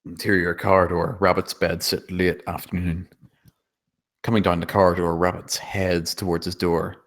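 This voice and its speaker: A man with a deep voice reads screenplay action aloud. He serves as narrator, setting scenes and describing what characters do in scripted prose. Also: level tone, moderate pace. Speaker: stage-direction narrator.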